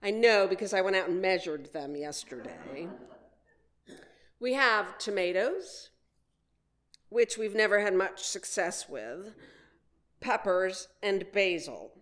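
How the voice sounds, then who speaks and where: A woman's voice: medium pitch, big pitch swings, takes it slowly, long pauses, fairly bright tone. A woman giving a conversational sermon built on personal stories, with self-deprecating humor. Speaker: minister preaching.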